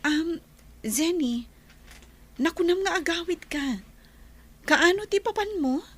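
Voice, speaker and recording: A woman's voice: very high voice, lively intonation, slow pace, full character voice. A woman playing a friendly, practical young vendor in an audio drama, with an Ilocano Filipino accent. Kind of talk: amateur audio drama acting.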